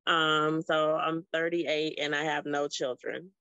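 A woman's voice: deep voice, level tone, slow pace, sharp tone, clear, resonant tone, no room sound. Woman in her thirties on a dull video call. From South Florida. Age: 30s. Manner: friendly, thoughtful, with frequent ums. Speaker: guest. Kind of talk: casual video call chat. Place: small room indoors.

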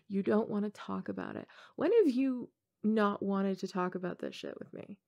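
Casting: a woman to play a college-age tomboy gamer girl for intimate ASMR roleplay; teasing, slangy, casual, with noticeable vocal fry. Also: medium pitch, a little mellow, full character voice. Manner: casual, slangy, teasing, frequent chuckles and hesitations.

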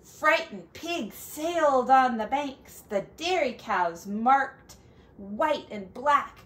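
A woman teacher reading a picture story aloud to children, expressive and dramatic, with chatty asides. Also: high-pitched, slow, slight background noise.

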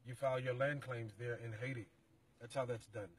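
A middle-aged man with a husky, somewhat breathy voice talks in a measured, explanatory way, sometimes restarting his sentences. He sits in the passenger seat of a car and is picked up by the interviewer's phone. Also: medium pitch, steady tone. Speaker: interview guest, organization representative. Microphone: phone camera held by the interviewer.

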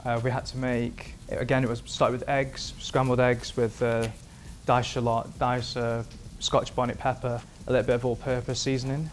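A man in his thirties, a performance chef from England, answering interview questions in a relaxed, rambling way with many fillers, in a home kitchen. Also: mid-range voice, moderate pace, hardly any pauses, a little hiss or hum. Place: modern home kitchen indoors.

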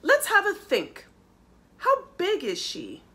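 A woman's voice: extremely high pitch, wildly swooping pitch, takes it slowly, sharp tone, slight background noise, pitched above the performer's own voice, heavily acted. A woman voicing a helpful, reassuring butterfly in a children's storybook, eager and encouraging in a sing-song way. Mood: soothing, helpful. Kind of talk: children's storybook read-aloud.

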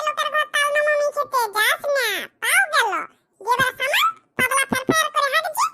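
A performer voicing a nagging, quarrelsome cartoon villager with a squeaky, pitch-shifted voice and a rural West Bengal accent. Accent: rural western West Bengal. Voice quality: squeaky, artificially high-pitched. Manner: rustic, quarrelsome, blunt. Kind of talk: comic cartoon dialogue.

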